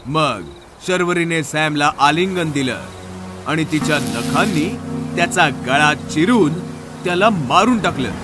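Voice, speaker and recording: A man's voice: on the high side, lively intonation, unhurried, over music. A man reading scripted narration as the storyteller of a horror tale.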